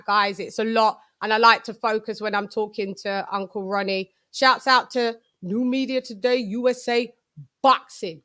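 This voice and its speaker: A British woman hosting a live boxing stream, chatting casually in an effusive, slangy way with shout-outs. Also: mid-range voice.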